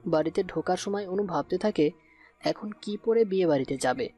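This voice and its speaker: A man's voice: sits high, normal speed, dark tone. A man reading scripted narration for a story. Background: music.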